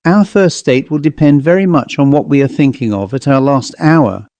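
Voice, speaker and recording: A calm, solemn vicar speaking gently at a bedside, as the narrator reads him. His voice is mellow and close, with a dry sound. He speaks with measured, grave composure. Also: medium pitch, dry recording, natural voice.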